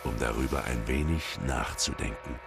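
A man narrating short scenes in a radio play in a measured storytelling manner. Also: rumbling low, vocal fry, loud music behind the voice, exaggerated voice.

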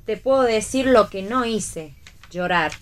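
An actress playing a spirited, sharp-tongued, defiantly cheerful woman who teases directly in a read radio play. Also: mid-range voice, lively intonation, a little slow, close to an everyday voice. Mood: teasing, defiant. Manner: teasing, direct, emphatic. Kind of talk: staged reading of a radio play.

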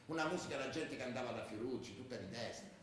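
A man's voice: mid-range voice, fast talker, a bit bright, close to an everyday voice. An actor playing a streetwise male ultra who looks back on a rebellious youth, in colloquial radio drama storytelling. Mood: gleeful, defiant. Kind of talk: theatrical radio drama monologue. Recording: very echoey, distant, faint noise.

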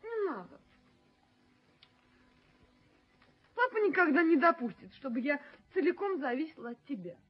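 A very high, theatrical young woman's voice plays a proud, hot-tempered fiancée in a 1970s radio play. She is imperious and easily wounded. The recording is muffled and lo-fi. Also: very animated, a little fast. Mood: hurt, accusing. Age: young adult. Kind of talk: Soviet radio play acting.

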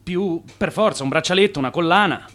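An actor playing a brash, persuasive swindler turned financier in a radio drama, with a theatrical, grandiose manner. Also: male voice, sits high, fairly quick, a bit bright. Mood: coaxing.

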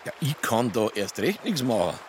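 An actor playing a grumpy, reluctant Bavarian police detective, blunt and grumbling, with a Bavarian accent in a radio drama. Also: male voice, mid-range voice, big pitch swings, moderate pace, slight background noise, natural voice. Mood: dismissive, grumbling. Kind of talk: naturalistic radio drama acting.

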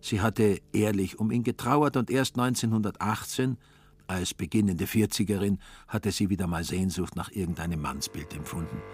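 A man with a fairly low voice narrates a literary radio play. His storytelling is measured and wry, with faint music behind it. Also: darkish tone, breathy edge.

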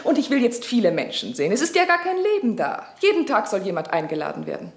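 A man's voice: high voice, wildly swooping pitch, quick pace, vocal fry, echoey room, voice pushed up, heavily acted. A man voicing a bored, spoiled young woman of society, languid in manner. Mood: commanding.

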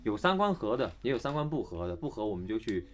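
Animated man chatting casually. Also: fairly low pitch, fast. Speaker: voice actor guest on an audio drama chat. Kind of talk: casual chat.

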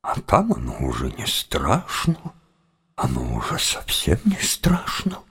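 In an audio drama, a frightened seminary student reassuring himself. The voice is extremely low and badly husked, almost breath alone. He mutters slowly, with big swings in tone. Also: wildly swooping pitch, fairly bright tone, full character voice. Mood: nervous self-reassurance. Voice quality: husky, breathy low murmur.

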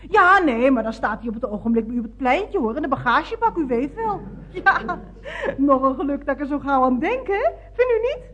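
A woman's voice: high-pitched, sing-song, fairly quick, fairly bright tone. An actress playing a scatterbrained, cheerful, chattering woman in a radio comedy serial, with a giggly, naive manner. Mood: giggly realization. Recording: dull band-limited old radio recording.

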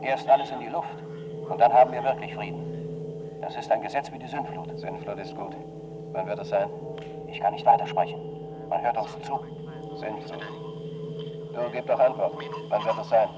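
Radio drama conspirator, male actor: tense, furtive, fairly deep, noisy hum behind. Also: normal speed, long pauses, muffled recording, lightly acted. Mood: urgent. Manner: clipped, furtive, urgent. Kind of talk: German radio drama acting. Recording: humming, noisy radio-like background.

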